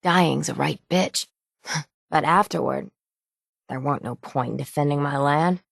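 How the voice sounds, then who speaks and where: A woman playing a gruff, world-weary, sardonic island sailor in a video game, with salty, plainspoken folksy phrasing. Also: low voice, measured pace, no room sound, studio quality, heavily acted. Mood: weary, resigned. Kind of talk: video game character dialogue.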